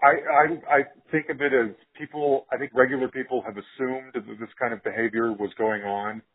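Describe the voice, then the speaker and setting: American male interviewer, slightly rough voice. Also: mid-range voice, steady tone, brisk, narrow, tinny audio. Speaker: podcast host, interviewer. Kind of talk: casual conversational interview.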